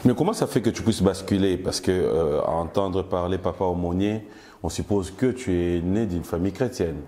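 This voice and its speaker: A man's voice: low voice, normal speed, warm tone, slight background noise. A man, hosting an interview in a playful, teasing way. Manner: playful, joking, laughs often. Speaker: interview host.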